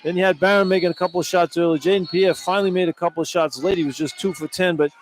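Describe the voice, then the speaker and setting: A man's voice: sits high, steady tone, very fast, slight background noise. A man giving unscripted sports analysis as a radio color commentator.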